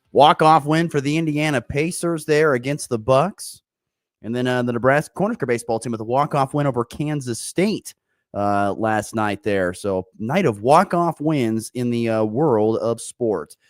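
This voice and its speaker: A man hosting a local morning sports talk radio show, a bit hoarse from a sore throat, upbeat and rambling with many fillers. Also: fairly high pitch, dry recording, studio quality.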